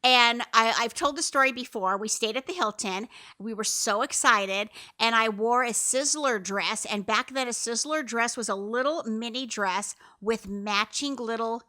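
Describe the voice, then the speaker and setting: A woman's voice: a little high, moderate pace, hardly any pauses, pushed and bright. A woman in her fifties who co-hosts a casual podcast, telling stories and often turning to her listeners. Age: 50s. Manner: chatty storyteller who keeps addressing listeners. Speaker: podcast co-host, mother. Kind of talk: casual chat.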